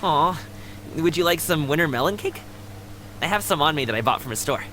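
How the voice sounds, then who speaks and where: A woman voicing a friendly, energetic and chatty young female guard in a video game, with a casual, considerate way of talking. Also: low voice, a little fast, a little hiss or hum. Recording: game music underneath.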